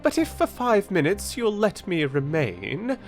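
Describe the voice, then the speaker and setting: A tiny storybook gnat, speaks in a high voice far from a grown man's. It is conceited and fussily polite, delivering its rhymes with great self-importance. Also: wildly swooping pitch, a little slow, faint music behind, full character voice. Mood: self-important concern. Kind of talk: rhymed storybook fable read aloud.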